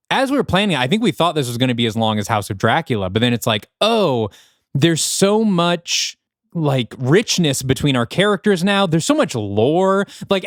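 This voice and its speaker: A man's voice: fairly high pitch, no room sound, studio quality. A man co-hosting a podcast, chatting casually about writing and rambling as he thinks aloud. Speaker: podcast co-host and writer. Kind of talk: casual behind-the-scenes podcast chat.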